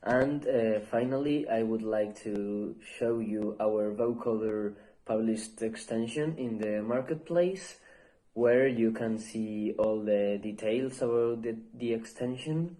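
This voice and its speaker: Slow, mellow-voiced man presenting a demo. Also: fairly low pitch, rough recording. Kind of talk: narrated screencast demonstration.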